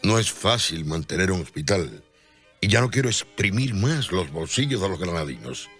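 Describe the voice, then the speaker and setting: An actor playing a devout, humble friar who looks after the poor, in an earnest, solemn radio drama style. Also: male voice, low voice, very animated, normal speed, a bit bright, husky, soft background music, heavily acted. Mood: concerned.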